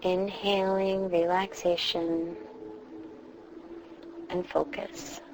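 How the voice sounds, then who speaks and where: Slow-paced woman guiding meditation over background noise. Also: on the low side. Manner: soothing, reassuring, with drawn-out pauses. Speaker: meditation guide. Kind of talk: guided meditation, instructing a listener. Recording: clearly noisy background ambience.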